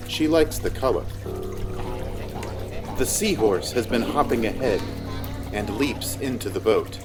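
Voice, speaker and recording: A man reading scripted fantasy narration in a measured storytelling manner. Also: fairly low pitch.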